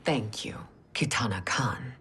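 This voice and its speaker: An actress playing a ruthless warrior empress in a video game, regal and commanding, with a scheming edge. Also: female voice, a bass voice, measured pace, a bit bright, in a whisper. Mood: solemn, formal. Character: imperious, ruthless warrior empress, loving wife. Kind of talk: dramatic video game cutscene acting. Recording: music under the voice.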